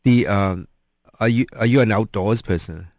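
A man's voice: fairly low pitch, moderate pace, a little mellow, no room sound, clean studio sound. A man interviewing a guest in casual chat, thoughtful and hesitant, with many ums.

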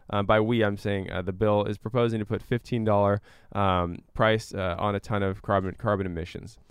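A man's voice: lowish voice, clear, resonant tone, no room sound, clean studio sound. A man explaining things conversationally as a guest expert in a radio interview. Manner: explanatory, with everyday examples. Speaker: interview guest, climate researcher and activist.

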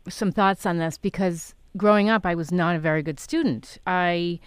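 A woman's voice: on the low side, moderate pace, clear, resonant tone, no echo. A woman who grew up in New York City, hosting a radio interview and asking questions in a chatty way. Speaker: radio host.

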